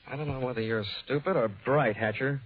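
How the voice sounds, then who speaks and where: An actor playing a cynical, wisecracking insurance investigator in a 1940s radio detective drama, wry and dry. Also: male voice, mid-range voice, fairly quick, slight background noise, natural voice. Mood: needling, confident. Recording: tinny, narrow-band 1940s radio recording, music.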